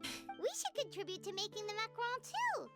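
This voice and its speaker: Tiny, excitable floating sprite girl, very high voice that bounces wildly, game companion. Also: normal speed, over loud music, exaggerated voice. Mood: eager. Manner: eager, bubbly, exclamatory.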